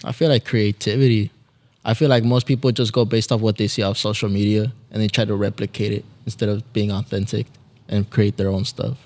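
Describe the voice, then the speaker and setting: A man's voice: fairly low pitch, flat delivery, a little fast, darkish tone, long reverb tail. A man in his early twenties chatting casually in a podcast conversation. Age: 20s. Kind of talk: casual chat.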